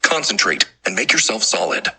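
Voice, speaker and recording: A woman playing a prim, exasperated zombie who scolds in a deadpan way in an audiobook drama. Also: very deep voice, a little fast, creaky, slightly rough, full character voice. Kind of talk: audiobook drama narration and dialogue.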